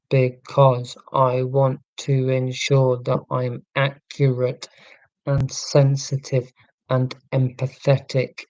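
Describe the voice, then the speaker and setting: A middle-aged man with a neutral southern English accent, talking slowly and deliberately in a casual, reflective monologue. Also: mid-range voice, darkish tone, no room sound, rough recording. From Scotland, raised in England. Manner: slow, deliberate speech with pauses. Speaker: vlogger.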